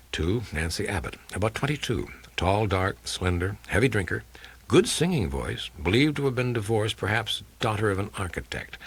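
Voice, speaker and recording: A man reading a crime audiobook as a world-weary, blunt male investigator who tells his case in a terse, dry, deliberate way. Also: on the low side, fairly bright tone, breathy edge, exaggerated voice. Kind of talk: dramatic first-person audiobook reading of hardboiled crime fiction.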